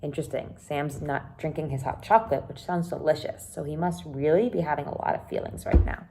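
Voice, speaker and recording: A woman's voice: sits low, fairly quick, dark tone, slight background noise, rough recording. A woman teacher reading a children's book aloud and explaining it simply, with dramatic emphasis. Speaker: elementary teacher.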